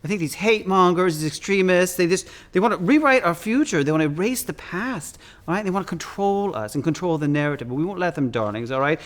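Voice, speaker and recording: A man's voice: high-pitched, fast. A man who performs as a drag queen storyteller, reading and chatting in a dramatic, effusive, campy way. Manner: effusive, campy, often calls listeners "darlings"; dramatic, expressive delivery. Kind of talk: theatrical read-aloud of picture books with chatty asides.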